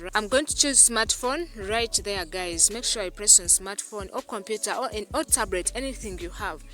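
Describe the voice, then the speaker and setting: A woman's voice: medium pitch, expressive, normal speed, pushed and bright. A woman from Uganda, hosting a tutorial voice-over, repeating herself often and calling her viewers "guys". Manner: repetitive, keeps addressing viewers as "guys". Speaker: YouTube channel host. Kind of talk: screen-recorded tutorial voice-over.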